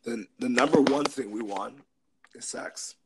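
A man co-hosting a casual podcast discussion, hoarse from a cold, talking through list points with whispery asides. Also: mid-range voice, unhurried, noisy background. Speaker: podcast co-host.

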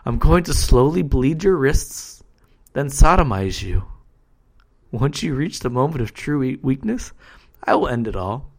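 A man voicing an eerily calm, hushed betrayer. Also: medium pitch, measured pace. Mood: eerie calm. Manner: hushed, apologetic, almost whispering. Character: soft-spoken male betrayer friend, eerily calm.

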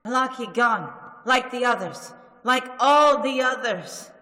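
A high, bright-voiced sailor, played by a man speaks in fearful broken English. The voice rings with a long hall reverb, like an old radio play. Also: measured pace, full character voice. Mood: dread. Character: frightened foreign sailor, superstitious. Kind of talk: 1930s radio drama reenactment.

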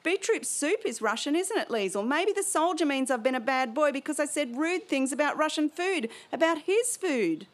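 A woman's voice: high voice, animated, normal speed, few pauses, voice pushed up. A woman reading aloud and voicing an anxious young German boy who pleads in a wobbly voice. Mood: worried.

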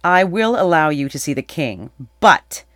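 A narrator voicing a stern young male hunter with a forceful, warning manner in a storybook. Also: medium pitch, big pitch swings, normal speed, dry recording, studio quality. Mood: threatening.

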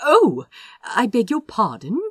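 A woman's voice: on the high side, wildly swooping pitch, slow pace, breathy edge, no echo, studio quality, voice pushed up, heavily acted. A woman voicing a fussy, cheerful, evasive young prince in an audiobook story, with a flustered, over-polite manner. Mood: flustered, chirpy. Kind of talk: fan fiction audiobook dialogue.

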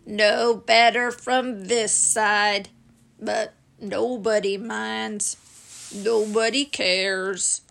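A woman voicing a gloomy old gray male donkey who talks slowly and mournfully. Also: medium pitch, steady tone, gravelly, fairly bright tone, heavily acted. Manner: slow, mournful, sighing.

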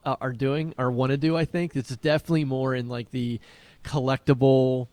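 A man's voice: medium pitch, steady tone, normal speed, a little mellow, no echo. A man co-hosting a podcast in a casual, conversational style. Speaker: podcast co-host.

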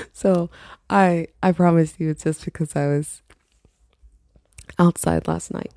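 A woman's voice: fairly even intonation, takes it slowly, warm tone, no echo, studio quality. A young woman hosting her casual solo podcast, sounding congested and stuffy, rambling and laughing at herself as she talks. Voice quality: low husky morning voice. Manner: rambling, laughs often, self-mocking. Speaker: podcast host.

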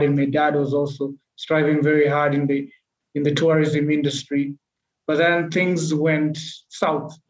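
A young man tells his own story in an earnest, measured way. His voice sits a little high and stays flat, with little rise and fall. The recording is echoey and dull, like a roomy lo-fi mic. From Kenya. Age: 20s. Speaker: podcast guest. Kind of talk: personal storytelling in an interview.

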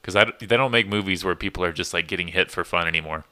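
A man's voice: deep voice, fast talker, sharp tone. A young man in casual chat, trading dry jokes and chuckling between remarks.

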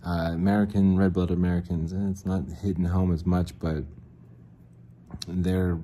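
A man hosting a casual solo podcast, rambling and self-mocking, clearing his throat often and answering his own questions. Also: low-pitched, flat delivery, moderate pace, mellow, a little hiss or hum. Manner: frequent throat clearing and restarts. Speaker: podcast host.

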